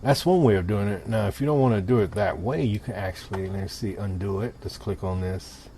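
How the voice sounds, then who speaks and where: Male tutorial presenter, deep register, casual step-by-step demo talk, slightly noisy home-style recording. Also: brisk. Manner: informal, step-by-step, talking while demonstrating. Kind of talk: casual software tutorial narration. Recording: clear steady background noise.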